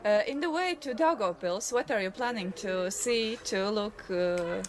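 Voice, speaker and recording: A woman interviewing a traveller in English, with many pauses and fillers. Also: mid-range voice, a little hiss or hum. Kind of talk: halting interview questions.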